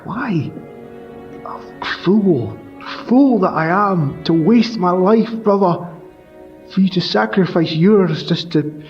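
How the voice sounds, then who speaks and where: Narrator as captive swordsman in despair, strained and raised; muffled, light music. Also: fairly high pitch. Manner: anguished, self-reproaching. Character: despairing captive male warrior.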